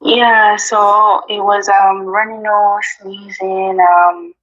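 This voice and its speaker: A woman's voice: medium pitch, flat delivery, slow pace, a bit bright, lo-fi sound. A woman from Jamaica with a Jamaican accent, a guest chatting casually in an interview, talking easily with many ums and you-knows.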